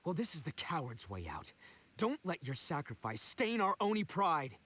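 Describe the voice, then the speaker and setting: A man's voice: fairly high pitch, big pitch swings, moderate pace, bright, forceful tone, slightly rough, heavily acted. A man playing a brash, big-hearted young male oni gang leader in a video game, with cocky, slangy, earnest delivery. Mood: determined. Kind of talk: English video game voice acting.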